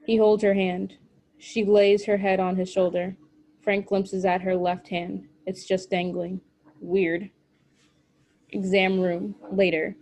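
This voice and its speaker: Even-toned woman reading stage directions. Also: mid-range voice, slow pace. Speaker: stage directions reader at a table read. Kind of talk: scripted narration at a table read.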